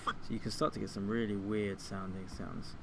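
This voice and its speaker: A man giving an informal software tutorial, explaining things step by step like a teacher. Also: fairly low pitch, steady tone, normal speed, vocal fry, background noise. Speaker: music technology teacher.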